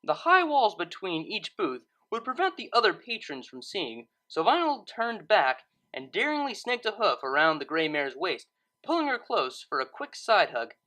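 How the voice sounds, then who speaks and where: A woman reading scripted fiction as narrator in an expressive storytelling manner. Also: mid-range voice, moderate pace, low-quality recording.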